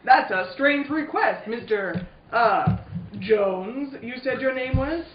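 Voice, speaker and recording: Man performing mysterious stilted gentleman, old radio comedy, swooping delivery, echoey dull sound. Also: extremely high pitch, lively intonation, slow pace, heavily acted. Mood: mock serious. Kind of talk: comic live reading of 1940s radio serial. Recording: distant, dull, echoey, noisy room.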